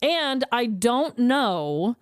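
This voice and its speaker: Lively, chatty woman podcast host. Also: fairly high pitch, very slow, smooth, no echo, clean studio sound. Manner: chatty and animated, laughs easily. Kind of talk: casual chat.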